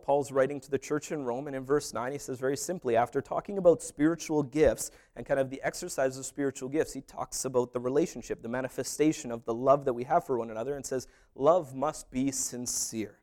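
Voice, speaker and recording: A man preaching a sermon as pastor, animated, with humorous asides and little acted-out dialogues. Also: mid-range voice, brisk.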